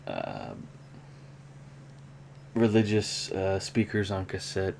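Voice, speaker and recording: A man talking casually and unscripted in a hobby show-and-tell vlog, with a rambling, halting delivery. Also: deep voice, big pitch swings, a little mellow. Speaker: hobby vlogger, cassette collector. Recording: clear background noise.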